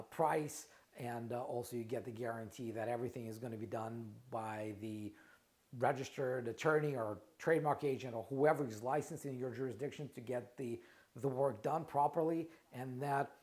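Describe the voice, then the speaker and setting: A man's voice: mid-range voice, darkish tone. A middle-aged man from Russia with a Russian accent, hosting a casual live question-and-answer stream, talking in loose bursts with frequent restarts and repeated words. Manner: conversational, many restarts and repeated words, asks "right?". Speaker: trademark lawyer and company founder, show host.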